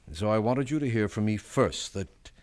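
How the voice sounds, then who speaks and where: A man's voice: on the low side, animated, normal speed, darkish tone, lightly acted. A man playing a calculating, domineering middle-aged father in a 1970s radio drama, speaking in a controlled, lecturing way. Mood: cold, firm. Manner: controlled, lecturing, self-assured.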